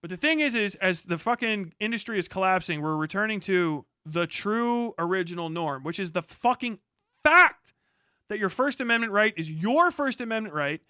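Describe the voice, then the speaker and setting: High, bright-voiced American man ranting. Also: expressive, moderate pace, clean tone, no echo, clean studio sound. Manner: forceful, emphatic, sweary, acts out imagined dialogue. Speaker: streamer. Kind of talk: impassioned, profane livestream rant.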